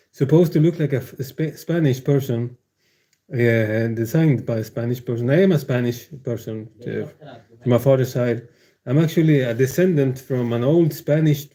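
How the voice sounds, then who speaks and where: Deep-toned, rambling male streamer. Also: mellow. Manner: meandering, hesitant, many fillers and restarts, chuckles often. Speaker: livestreamer. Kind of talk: casual rambling stream chat.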